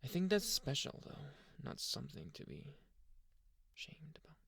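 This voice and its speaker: A man voicing a devoted, possessive young alpha werewolf boyfriend in an intimate anime ASMR roleplay, breathy and often dropping to a whisper. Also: on the high side, huge pitch swings, full character voice. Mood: playful, awkward, soft. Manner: slow, sighing, soft murmured endearments. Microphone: close microphone, dry.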